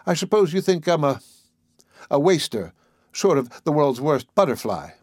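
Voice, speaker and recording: A man voicing a lively, privileged young society woman with a wistful, hesitant, girlish manner in a story. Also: a little high, normal speed, no echo, studio quality, voice pushed up. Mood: playful.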